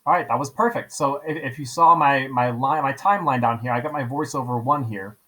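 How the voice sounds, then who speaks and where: A man's voice: mid-range voice, fairly quick, pushed and bright. A man teaching in an informal screencast style, explaining step by step, repeating himself and often checking in with "right?". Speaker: teacher giving a software tutorial. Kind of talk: screen-recording tutorial, casual step-by-step instruction.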